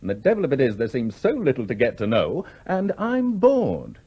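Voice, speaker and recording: An actor playing a charming, idle English gentleman in a 1970s radio play, suave and teasing with small hesitations. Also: medium pitch, huge pitch swings, normal speed, husky, full character voice. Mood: bored, restless. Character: charming, flirtatious, idle well-off English gentleman, restlessly bored.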